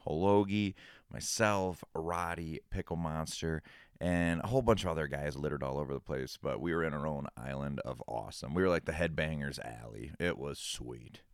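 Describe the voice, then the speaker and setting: Deep deep voiced 30s guy on a podcast, smooth, laid back, rambles on, dry sound. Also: normal speed, dry recording. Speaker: podcast co-host. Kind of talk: casual chat.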